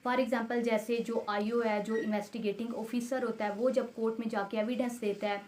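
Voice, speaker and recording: High-pitched Pakistani woman giving a lecture. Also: little pitch movement, moderate pace, a little mellow, a little hiss or hum. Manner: didactic, repeats and rephrases points with examples. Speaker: law lecturer. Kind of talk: explanatory lecture mixing in English legal terms.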